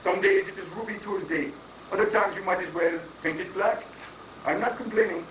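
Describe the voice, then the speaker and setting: A flamboyant foreign guru, played by a man in a comic stage reading, cheerfully dodging and rambling in mock-pious phrases. The voice sits high. It comes from off at a distance in an echoey room, on a noisy 1980s live recording. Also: brisk, fairly bright tone. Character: flamboyant wandering foreign guru, rock-obsessed, evasive and cheerful.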